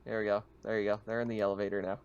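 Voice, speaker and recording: Guy game mastering, gravelly fry in his voice, halting off-the-cuff descriptions, slightly muffled audio. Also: fairly low pitch, level tone, darkish tone. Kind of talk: casual tabletop game narration.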